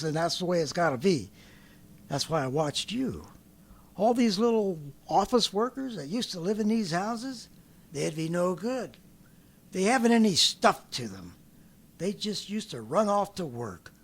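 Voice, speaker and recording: A man's voice: high voice, sing-song, measured pace, heavily acted. An actor in 1930s radio drama style playing a fanatical survivalist artilleryman with an American accent, ranting colloquially and swinging from brooding to frenzied. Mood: scornful, scheming. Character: fanatical survivalist artilleryman, swept up in grandiose schemes.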